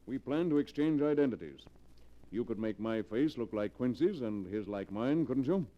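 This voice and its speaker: An actor playing a calculating, self-assured millionaire fugitive in 1940s radio style, brisk and businesslike. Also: male voice, medium pitch, animated, close to an everyday voice. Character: commanding, calculating millionaire fugitive with a hot temper. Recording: muffled 1940s radio drama recording.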